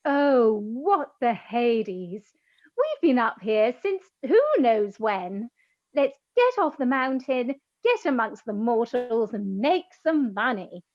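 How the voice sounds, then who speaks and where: An actress playing a naive, dramatic goddess of love in a comic radio play, speaking with theatrical incredulity, on a slightly dull, roomy recording. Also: female voice, on the high side, big pitch swings, takes it slowly. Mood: intrigued, eager.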